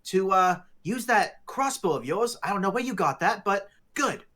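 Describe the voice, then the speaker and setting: Man doing an eager little creature, lively swooping pitch. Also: normal speed. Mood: brisk, commanding. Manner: theatrical funny accent. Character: eager odd little creature posing as sailor boy. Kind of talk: tabletop roleplay character voice.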